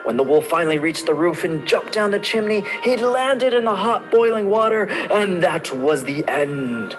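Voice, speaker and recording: Lively sing-song librarian telling stories. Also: male voice, high voice, normal speed, faint music behind. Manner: gentle, sing-song storytelling, addresses children directly. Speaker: children's librarian. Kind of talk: children's story time narration.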